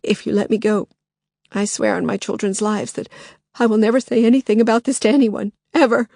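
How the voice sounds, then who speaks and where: A woman's voice: level tone, normal speed, creaky, warm tone, dry recording, clean studio sound. Woman voicing a terrified, pleading woman. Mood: frightened. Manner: high, frantic pleading. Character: terrified woman under attack.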